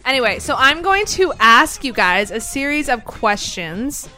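A woman leading a playful chat between friends, quick to tease and laugh. Her voice is bright and fairly high, with a light edge. Soft music plays faintly behind her. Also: sing-song. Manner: teasing, bossy, laughs often. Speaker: host. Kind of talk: casual chat.

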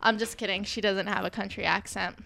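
A woman's voice: medium pitch, fairly even intonation, brisk, gravelly, sharp tone, dry recording. A young woman hosting a casual radio show, chatty and joking, with a lot of filler words.

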